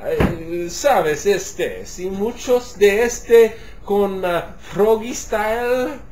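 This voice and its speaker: Native English-speaking man in his 30s, unhurried and halting in Spanish, lots of fillers. Webcam, home kitchen. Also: high voice, darkish tone. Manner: halting second-language Spanish, many fillers and restarts; gestures animatedly while talking. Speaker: interviewee, musicology professor. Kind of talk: casual on-camera interview. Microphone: webcam at desk distance.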